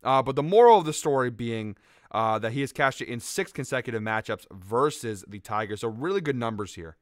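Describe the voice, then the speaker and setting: Fast-talking, slightly raspy betting-picks host. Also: male voice, medium pitch, expressive, dry recording, studio quality. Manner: quick, upbeat, rattles off stats with fillers. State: slightly raspy from a late night out. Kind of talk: fast casual betting-tips monologue.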